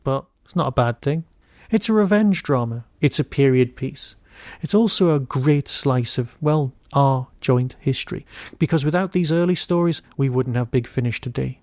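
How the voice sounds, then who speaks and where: A man hosting a podcast, giving an informal solo review in a wry, digressive way with emphatic pauses. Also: medium pitch, a little mellow, dry recording.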